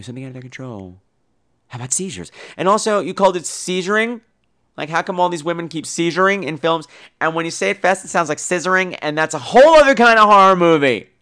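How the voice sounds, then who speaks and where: A man hosting a podcast, chatting casually about films in an animated, theatrical, wisecracking way. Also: high-pitched, smooth. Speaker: podcast host. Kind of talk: casual film chat responding to a listener call.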